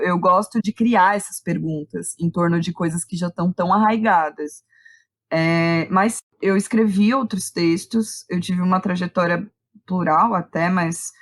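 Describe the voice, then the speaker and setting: A Brazilian woman speaks in a medium-pitched voice as an interview guest. She reflects casually and explains things conversationally, often acting out imagined remarks and seeking agreement with short tags. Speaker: interview guest, playwright and director.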